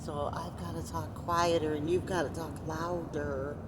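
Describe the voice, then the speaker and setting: A woman's voice: sits low, flat delivery, measured pace, far from the mic. Woman chatting in a noisy moving car. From Upstate New York. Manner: says she has a strong, loud voice. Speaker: vlogger. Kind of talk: casual chat while riding in a car.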